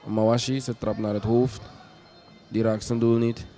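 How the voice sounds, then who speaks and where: A man commentating on a kickboxing match in a hesitant, measured way, as a sports commentator does during a live bout. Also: mid-range voice, flat delivery, dark tone. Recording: music behind the commentary. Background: music.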